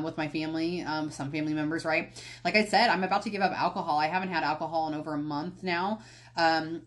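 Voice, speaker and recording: A woman hosting a podcast on her own, talking candidly and rambling a little, often going off on tangents and correcting herself. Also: lowish voice. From United States. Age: adult, past college. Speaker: podcast host. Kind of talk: casual solo podcast talk.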